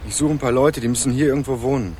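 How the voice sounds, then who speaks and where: A man's voice: mid-range voice, racing, mellow, a little hiss or hum, close to an everyday voice. A young man narrating a radio crime drama in the first person, with a laconic, dry hard-boiled manner. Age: young adult. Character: sardonic young man hunting his missing cousin. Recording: radio play with music underneath.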